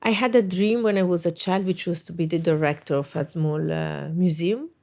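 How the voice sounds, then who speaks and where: Woman, middle-aged, low voice, lots of uh and restarts while she answers. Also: normal speed, gravelly, darkish tone. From Italy. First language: Italian. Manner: thoughtful, many hesitations and restarts. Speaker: guest, NATO special representative. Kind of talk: interview answers.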